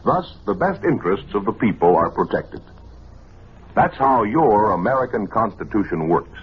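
A man's voice: lowish voice, lively intonation, normal speed. A man delivering a formal, emphatic public service announcement in vintage radio style. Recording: muffled, noisy vintage radio recording.

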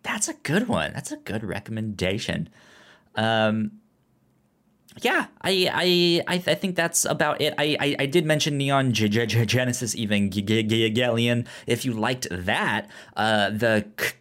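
A man hosting a casual film podcast, often stuttering on sounds and syllables in an easygoing, halting way. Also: medium pitch, animated, a bit bright. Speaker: podcast host.

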